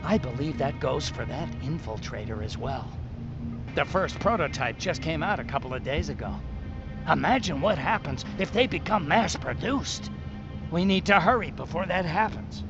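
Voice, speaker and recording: An actor playing an excitable hacker in a video game, explaining things emphatically in technical jargon. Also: male voice, a little high, moderate pace, lo-fi sound, music in the background. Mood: confident, smug. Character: excitable male tech expert and hacker resistance ally. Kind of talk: video game cutscene acting.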